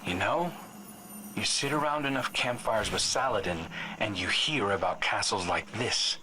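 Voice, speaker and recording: A troubled, earnest scout from a video game, played by a man. His voice sits low, thoughtful and wry, with a brooding storyteller's manner. Clear noise runs behind him. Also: moderate pace, lightly acted. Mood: intrigued storytelling. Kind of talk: video game cutscene dialogue.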